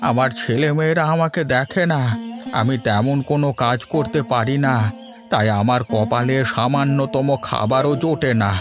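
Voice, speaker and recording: A hungry, neglected old beggar man, voiced by a man in a cartoon fairy tale. He is humble and pleading, with a medium-pitched voice. Soft music plays underneath. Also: normal speed, close to an everyday voice.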